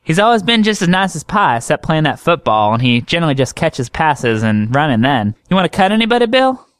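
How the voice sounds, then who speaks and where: A man voicing a folksy, kindly woman doctor with a homespun, gentle manner. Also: on the high side, very animated, a little fast, dry recording, voice pushed up.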